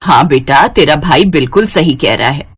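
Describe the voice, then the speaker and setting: A woman's voice: sits low, expressive, normal speed, breathy edge, voice pushed down, full character voice. Woman acting a fond middle-aged mother.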